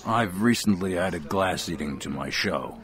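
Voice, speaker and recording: A man's voice: low-pitched, animated, measured pace, fairly bright tone. An actor playing a flustered middle-aged man who takes offense easily, in an audio drama. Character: Mister Franklin, indignant amateur-magician construction supervisor.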